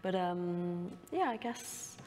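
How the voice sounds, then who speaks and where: A woman's voice: fairly low pitch, slow, a little mellow, big-room sound, a little hiss or hum. A woman on a studio panel, thinking aloud with hesitant phrasing and many fillers. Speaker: panel guest. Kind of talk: panel discussion.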